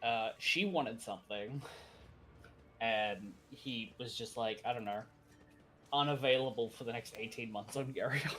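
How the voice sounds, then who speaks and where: A man in casual chat on a co-op game stream, mid-pitched and rambling. He laughs often and drifts between asides. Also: a little slow, a bit bright, soft background music. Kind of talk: casual chat while gaming.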